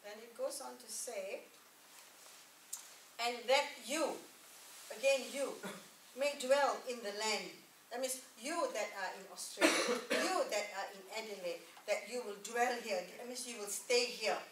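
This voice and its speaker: Middle-aged woman preaching, high-ish voice, keeps asking amen?, far off in an echoey church room. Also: measured pace, a bit bright, a little hiss or hum. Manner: call-and-response, repeats key words, asks the room "Amen?". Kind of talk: sermon. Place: church meeting room with a projector screen. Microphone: distant camera mic.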